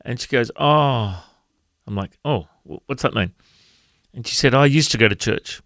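Australian male pastor, big voice, storytelling radio devotion, slight fry. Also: mid-range voice, normal speed, fairly bright tone, no echo, studio quality. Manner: storytelling, conversational.

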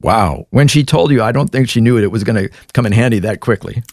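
A man hosting an interview, reading a scripted introduction and asking measured, attentive questions. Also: mid-range voice, dry recording, studio quality. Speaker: host.

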